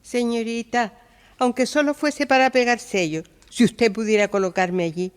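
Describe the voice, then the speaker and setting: A woman's voice: fairly high pitch, fairly even intonation, moderate pace, smooth, in a large hall, lightly acted. An actress playing a humble woman pleading for work in a theatrical radio drama.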